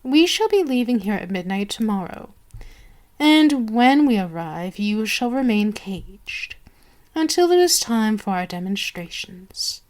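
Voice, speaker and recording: A woman voicing a haughty, commanding vampire queen in an ASMR roleplay, speaking with deliberate, condescending authority. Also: medium pitch, very animated. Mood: coolly condescending. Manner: slow, imperious, deliberate, condescending. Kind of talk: ASMR roleplay monologue to the listener.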